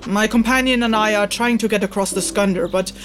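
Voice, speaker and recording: A woman's voice: mid-range voice, brisk, slight background noise, lightly acted. A woman playing a serious, blunt young furred wanderer with a boyish air in an audio drama, matter-of-fact and a little awkward. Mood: polite, awkward.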